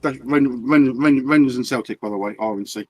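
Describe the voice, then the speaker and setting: A man's voice: mid-range voice, brisk, slightly rough, dry recording. A man streaming a game, chatting casually with friends in a rambling, stammering way and swearing often. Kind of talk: casual voice chat while gaming.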